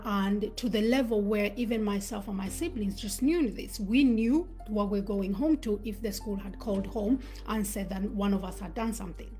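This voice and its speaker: A woman who was born in Uganda and raised in Sweden, hosting a live talk on self-awareness and telling personal stories in a reflective, unhurried way. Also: medium pitch, darkish tone. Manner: reflective, storytelling with pauses. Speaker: host.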